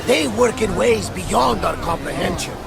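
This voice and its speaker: An actor playing a hearty, devout older Greek sea captain in a video game, with a gravelly, booming, exclamatory delivery. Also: male voice, lively intonation, a little slow, over music, crowd noise, full character voice. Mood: welcoming, reverent. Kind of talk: dramatic video game dialogue. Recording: noisy outdoor ship ambience behind voice.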